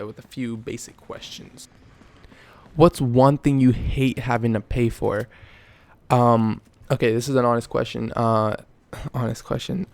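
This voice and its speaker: A young man from Canada, rambling and joking through a casual question-and-answer vlog, with a raspy voice because he just woke up. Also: medium pitch, darkish tone. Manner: rambling, self-correcting, joking. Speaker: YouTuber.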